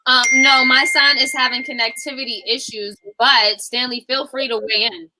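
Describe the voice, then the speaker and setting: Female co-host, short hesitant handoffs, slightly high voice with a crisp edge. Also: fairly even intonation, normal speed, sharp tone, rough recording. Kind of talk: casual live show hosting.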